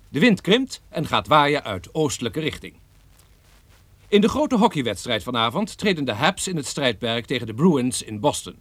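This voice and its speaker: A man's voice: a little high, a bit bright, no echo. A man playing a chirpy radio disc jockey in a radio play, reading bulletins with breezy announcer patter.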